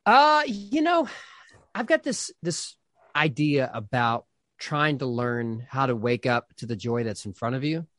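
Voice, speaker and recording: A man's voice: medium pitch, sing-song, measured pace, a bit bright, dry recording, studio quality. A pastor and author in his thirties talking casually in an interview, rambling with lots of fillers and restarts.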